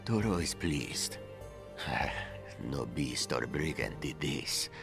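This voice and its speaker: A man's voice: rumbling low, animated, slow pace, creaky, full character voice. A man voicing a dying, resigned cat-person wanderer in a fantasy video game, speaking of himself in the third person. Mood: weary, resigned. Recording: music under the voice.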